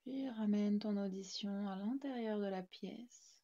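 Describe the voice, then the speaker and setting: A woman guiding a yoga nidra relaxation, speaking in calm, soothing, gently instructing short phrases. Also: medium pitch, a little slow, lo-fi sound. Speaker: yoga nidra meditation guide. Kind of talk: guided relaxation meditation narration.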